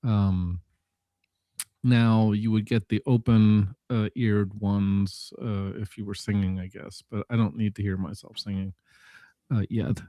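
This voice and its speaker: Halting man in casual chat, close dry sound. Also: on the low side, level tone, warm tone, no echo. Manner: halting, rambling, self-correcting. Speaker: panelist on a live audio show.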